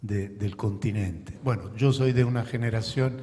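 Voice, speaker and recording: A man's voice: lowish voice, moderate pace, mellow, slight background noise. A man, an audience member winding his way toward a question. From Italy. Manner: discursive, building up to his question. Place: lecture hall. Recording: long reverb in a hall.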